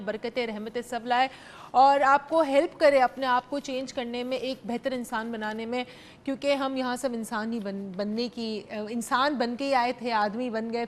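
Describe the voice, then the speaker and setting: A young woman with a Pakistani accent hosting a live call-in TV show, chatting freely in Urdu laced with English. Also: on the high side. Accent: Pakistani Urdu, mixing in English. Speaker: host. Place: TV studio.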